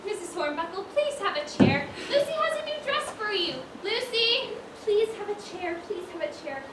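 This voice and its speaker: A woman's voice: extremely high pitch, big pitch swings, moderate pace. A woman on stage playing a flustered, polite widow who keeps a dress shop in an amateur comedy. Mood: anxious placating. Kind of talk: amateur one-act stage comedy acting. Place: stage in a large echoey room. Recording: echoey stage, noisy, far-off mic.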